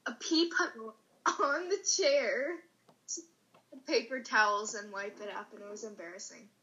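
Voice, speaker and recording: A woman's voice: fairly high pitch, moderate pace, bright, forceful tone. A young woman telling a story while chatting with friends at home. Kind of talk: casual chat with friends. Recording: dull muffled recording.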